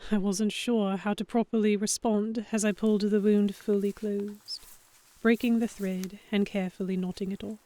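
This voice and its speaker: A woman playing an earnest, self-conscious heroine, narrating a historical romance audio drama in the first person with measured, introspective delivery. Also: mid-range voice, flat delivery, dark tone, close to an everyday voice. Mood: hesitant, self-reproachful. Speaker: narrator and character.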